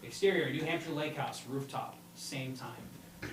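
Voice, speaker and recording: A man reads out screenplay directions at a live table read. His delivery is halting and informal. The sound is echoey and picked up from a distance, with heavy noise behind him. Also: medium pitch. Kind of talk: live script read-through of a comedy screenplay. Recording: roomy, distant pickup with loud room noise.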